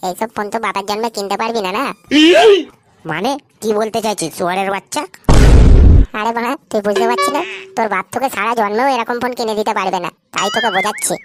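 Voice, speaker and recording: Woman voicing a rapid-fire, crude cartoon village lad. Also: mid-range voice, background noise, lightly acted. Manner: crude, swearing, taunting. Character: foul-mouthed, cocky village youths bickering, male. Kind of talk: comedy cartoon dialogue.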